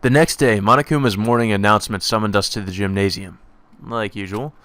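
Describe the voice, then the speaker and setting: A man's voice: fairly low pitch, fairly even intonation, fairly quick, fairly bright tone, no room sound. A man giving a dramatic, subdued reading of visual novel narration in a brooding manner. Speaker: let's play narrator.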